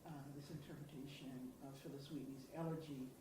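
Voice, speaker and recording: A woman's voice: sits low, fairly even intonation, fairly quick, dark tone, slight background noise. A woman poet speaking thoughtfully and earnestly about her writing at a symposium. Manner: thoughtful, earnest, with small restarts. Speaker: contributing poet. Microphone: far from the microphone. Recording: distant voice in a very echoey hall.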